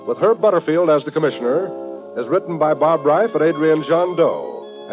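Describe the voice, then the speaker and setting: An American man announcing in a scripted, polished, declamatory 1950s radio style. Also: on the high side. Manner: polished, declamatory promotional delivery. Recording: muffled vintage radio broadcast.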